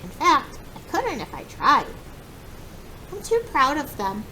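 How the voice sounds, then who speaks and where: A woman's voice: huge pitch swings, unhurried, pushed and bright, full character voice. Woman as an earnest young werewolf, noisy recording. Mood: proud, firm. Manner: earnest, polite. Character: earnest, proud young male werewolf player. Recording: clear background noise with rumble.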